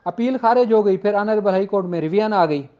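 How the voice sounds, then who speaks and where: A man's voice: high-pitched, quick pace, dark tone. A man giving an informal explanatory law lecture, speaking emphatically and repeating points for clarity. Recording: dull, lo-fi audio.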